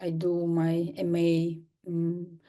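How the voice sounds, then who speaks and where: A young adult woman from northern Kazakhstan, a native Kazakh speaker, giving a seminar lecture over a video call, halting with many fillers and restarts. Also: on the low side, completely flat delivery, warm tone. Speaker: guest lecturer, artist. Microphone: computer mic on video call.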